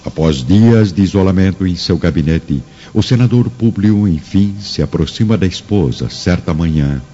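A male narrator tells a radio drama solemnly and theatrically. His very deep, mellow voice moves through wide, expressive intonation. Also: normal speed. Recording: muffled audio with faint music.

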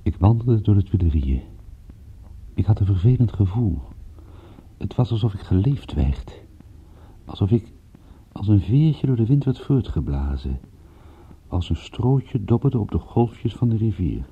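A brooding private detective in a radio play, reluctant and world-weary. The voice is low and very husky, telling the story in a measured way. The old recording sounds thin and boxed-in, like a telephone line. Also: male voice, big pitch swings, exaggerated voice. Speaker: narrating lead actor in a radio play. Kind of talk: first-person detective radio drama narration.

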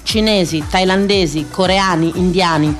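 A woman performs a dramatic scripted radio monologue, deliberate and repeating phrases for emphasis. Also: fairly low pitch, steady tone. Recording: music behind the voice. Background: music.

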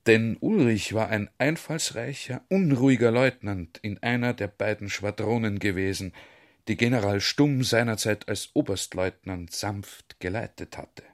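A man's voice: on the low side, measured pace. A man narrating a literary radio drama in standard speech.

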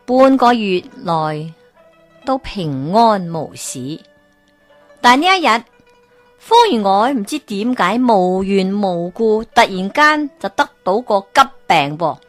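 A woman storyteller narrating a folk tale in an animated way, with exclamations and character voices. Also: mid-range voice, takes it slowly, no room sound, soft background music.

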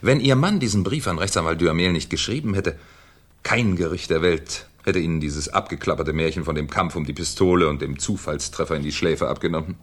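An actor playing a shrewd, ironic French police commissioner in a 1970s German radio crime drama, with a theatrical, needling manner. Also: male voice, low-pitched, a little fast, breathy edge. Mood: smug, reproachful.

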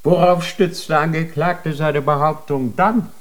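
A man's voice: fairly high pitch, steady tone, a little slow, lightly acted. An actor playing a stern male inquisitor of a holy tribunal, interrogating in a cold, formal manner in a radio drama.

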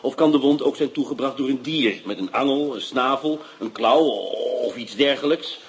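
An actor playing an impatient, dry-humored police detective in a radio drama. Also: male voice, medium pitch, normal speed, slight background noise, natural voice.

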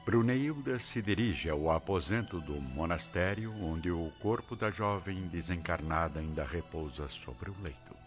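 A man with a Brazilian accent narrates a radio drama. His voice is very deep and his delivery solemn and literary. Music plays behind a somewhat dull recording. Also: measured pace, few pauses.

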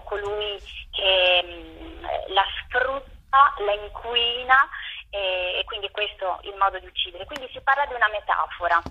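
A woman interviewed over the phone as a theatre director, explaining her work in a thoughtful, halting way with drawn-out pauses. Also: medium pitch, husky. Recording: muffled, band-limited phone line.

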